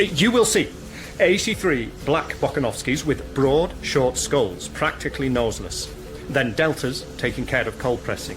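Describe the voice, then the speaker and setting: An actor as an officious male factory guide in a radio play, speaking at a middling pitch with prim politeness. He is eager and fussy, and music plays clearly behind him. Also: close to an everyday voice. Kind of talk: radio drama acting.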